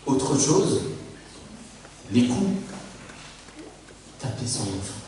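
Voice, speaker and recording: A man from Mauritius preaching a sermon as a pastor, lively, repeating phrases for emphasis and putting rhetorical questions to the congregation. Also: mid-range voice, drawn-out words, a little hiss or hum. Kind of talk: sermon on family life. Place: church. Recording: echoey church hall from far off.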